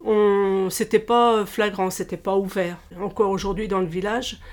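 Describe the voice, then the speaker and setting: Halting woman in her fifties, Portuguese-born. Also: medium pitch, fairly even intonation, darkish tone. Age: 50s. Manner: halting, many pauses and restarts. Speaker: interviewee, childminder. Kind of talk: casual interview conversation.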